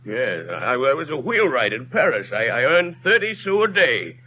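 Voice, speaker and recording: A man's voice: medium pitch, very animated, normal speed, close to an everyday voice. A man playing a stammering old peasant accused. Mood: pleading. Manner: stammering, pleading, simple. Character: poor bewildered peasant pruner, man, older. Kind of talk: 1930s radio drama acting. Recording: dull lo-fi 1930s radio broadcast, faint hiss.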